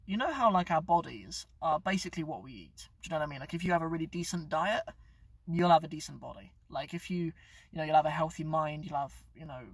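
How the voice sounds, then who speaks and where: Chatty man, slightly husky, distant mic. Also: on the high side, lively intonation, fast talker, no echo. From England. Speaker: YouTube channel host. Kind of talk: casual live-stream chat. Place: inside his parked car.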